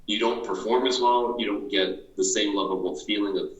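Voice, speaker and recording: A man's voice: on the low side, steady tone, normal speed, a bit bright, roomy sound, dull audio. A man interviewing a guest in an earnest, motivational way, asking questions over and over in slightly different words.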